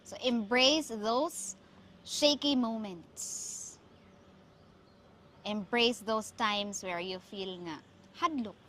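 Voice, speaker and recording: A woman from the Philippines with a Filipino accent and a Cebuano mother tongue, hosting reflective, motivational talk with emphatic, deliberate phrasing. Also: fairly high pitch, fairly bright tone, slight background noise. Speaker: podcast host.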